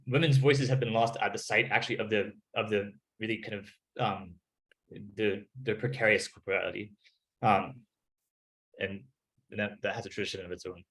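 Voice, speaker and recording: A man's voice: on the low side, steady tone, moderate pace, fairly bright tone, poor audio. A man speaking as a panelist in an academic discussion, searching for words with many restarts, on a video call.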